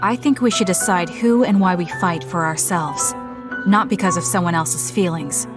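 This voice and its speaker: A woman voicing a calm, blunt young soldier heroine in an anime-style game, speaking in a measured, matter-of-fact way. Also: on the low side, music in the background, lightly acted. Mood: cool. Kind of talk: anime game cutscene acting.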